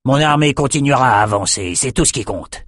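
A man's voice: medium pitch, lively intonation, a little fast, fairly bright tone, dry recording, studio quality, voice pushed up. A man voicing a stern, commanding warlord general. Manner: clipped, commanding.

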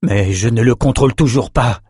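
A man's voice: mid-range voice, normal speed, a little mellow, breathy edge, no room sound, studio quality, natural voice. A man voicing a troubled male sorcerer in a fantasy audiobook, frustrated, doubtful and emphatic. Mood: alarmed.

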